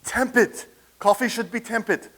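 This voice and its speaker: An actor playing a furious, demanding lieutenant colonel who barks orders at full volume in a staged play reading. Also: male voice, extremely high pitch, steady tone, normal speed, noisy background. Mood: furious shouting. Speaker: Hans Roth, an army officer.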